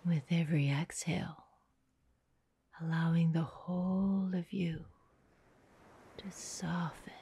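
Breathy, half-whispered woman guiding meditation. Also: lowish voice, fairly even intonation, warm tone. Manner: soothing, unhurried with long pauses. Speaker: meditation guide. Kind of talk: guided meditation, soft hypnotic narration.

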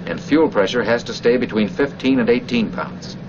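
A man's voice: mid-range voice, moderate pace, natural voice. A man playing a stern, needling flight instructor who narrates a 1940s military training film and addresses the trainee directly. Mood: chiding. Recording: muffled old film soundtrack, clear noise.

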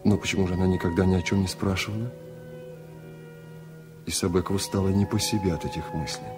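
A man reading a literary story aloud for radio, in a measured, reflective and restrained way. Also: low-pitched, steady tone, music in the background. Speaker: narrator.

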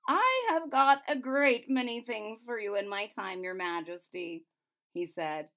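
A woman's voice: mid-range voice, expressive, measured pace, a bit bright. A woman voicing a pompous, self-important court chamberlain in a storybook, reading off a long list. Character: pompous fat old male court chamberlain.

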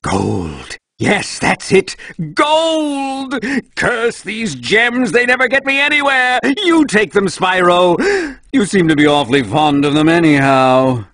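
An actor playing an exasperated, greedy bear merchant in a video game, ranting melodramatically. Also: male voice, high voice, big pitch swings, slow, a bit bright, dry recording, clean studio sound, heavily acted. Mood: manic, exasperated. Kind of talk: animated video game character acting.

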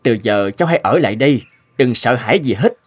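An actor playing a kindly, wealthy merchant in an animated folktale, speaking in a calm, fatherly manner. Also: mid-range voice, quick pace, husky. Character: kindly, wealthy merchant adopting a lost boy.

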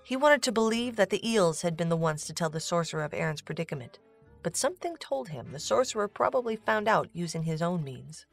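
A woman's voice: lowish voice, animated, fairly quick, creaky. A woman narrator reading a scripted story for an audio drama. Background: music.

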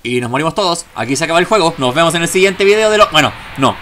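A man's voice: on the high side, lively intonation, rapid-fire, sharp tone, slight background noise. A man hosting a gaming video with casual let's play commentary, full of chatty, jokey asides.

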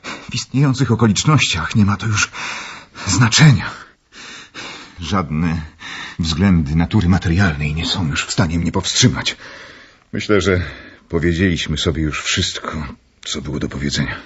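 An actor playing a resolute young gentleman in love, speaking formally and earnestly in a radio drama. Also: low voice, normal speed, pauses often, husky. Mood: resolute, grave. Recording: slightly dull audio with music underneath.

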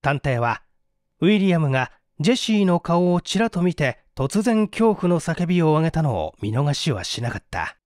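A man's voice: on the high side, big pitch swings, normal speed, darkish tone, no echo, clean studio sound. A man reading a mystery story as an audiobook narrator, in a formal, theatrical style.

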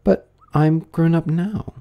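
A man's voice: mid-range voice, very animated, moderate pace, lo-fi sound, voice pushed up. A man voicing a curious little girl in a storybook, prim and chatty as she questions herself. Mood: musing. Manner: musing, prim, self-questioning.

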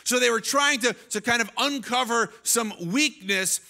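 A man in his thirties preaching a sermon on a church stage into a handheld mic, animated and often restarting his phrases. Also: very high-pitched, normal speed. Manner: animated, gestures while preaching, many restarts. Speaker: pastor. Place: large church stage, indoors. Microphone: handheld microphone.

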